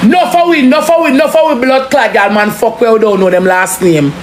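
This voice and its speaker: A woman with a Jamaican accent in an impassioned, forceful street-style rant, cursing heavily and repeating phrases for emphasis. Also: a little high, moderate pace. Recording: roomy echo, clearly noisy background.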